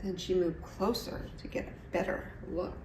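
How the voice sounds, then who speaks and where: A woman's voice: fairly low pitch, fairly even intonation, moderate pace, a little mellow, distant mic. A middle-aged woman reading a children's story aloud expressively, as a storyteller. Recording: echoey room, faint background noise.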